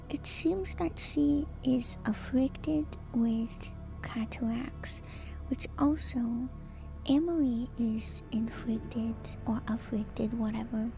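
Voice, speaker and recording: Woman whispering slowly in gravelly ASMR. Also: a little high, steady tone, over music, low-quality recording. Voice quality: soft, breathy whisper. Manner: hesitant, musing, unscripted. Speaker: livestreamer host. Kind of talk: whispered ASMR video game lore commentary.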